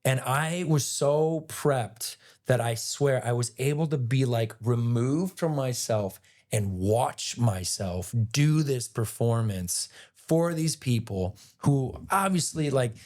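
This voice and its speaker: Raspy-voiced male actor, chatty guest spinning a story, loose and animated. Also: medium pitch, slow pace. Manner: animated, rambling retelling with many "like"s. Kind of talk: casual storytelling interview.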